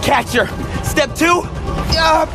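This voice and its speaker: Teenage superhero boy, earnest, quippy, high voice, video game, loud music. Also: takes it slowly, over a noisy crowd. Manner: casual, youthful, quippy.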